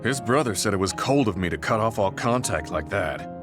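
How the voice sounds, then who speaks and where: A serious, weary soldier weighed down by guilt. His voice sits low and his delivery is measured, in the manner of video game drama, with music clearly playing behind him. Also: lively intonation. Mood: regretful. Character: weary, guilt-ridden veteran male soldier. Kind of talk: English video game voice acting.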